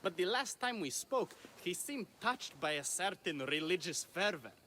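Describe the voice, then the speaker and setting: A self-important ancient Greek playwright in a video game. His voice is high and bright, swooping freely as he makes effusive, grandiose pronouncements. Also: animated, normal speed, a little hiss or hum. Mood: grandly confiding. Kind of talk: video game dialogue acting.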